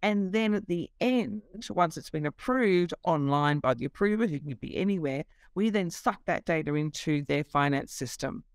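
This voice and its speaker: A woman's voice: low-pitched, animated, normal speed, hardly any pauses, dry recording, clean studio sound. A middle-aged woman, a company CEO, explaining her business over a video call, fluent but with many fillers. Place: office.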